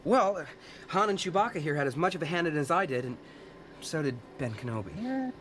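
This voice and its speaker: Earnest young farmboy hero for radio drama, fairly high, expressive, modest. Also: male voice, fairly quick, a little hiss or hum.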